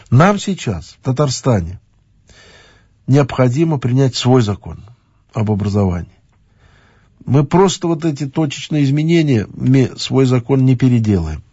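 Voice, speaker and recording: A middle-aged man speaking as a regional official in a halting official address, with frequent fillers and restarts. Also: medium pitch, warm tone, breathy edge, dry recording, highs cut off.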